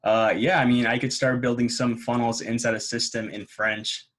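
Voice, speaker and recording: A man's voice: mid-range voice, level tone, moderate pace, a bit bright. A man hosting a casual podcast interview, talking with enthusiasm and giving advice. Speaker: podcast host.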